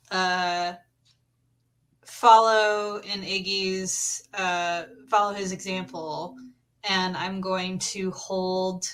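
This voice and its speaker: Lady rpg player, medium pitch, really slow, casually says what her character does. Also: a bit bright. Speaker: tabletop game player. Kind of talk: casual in-game talk.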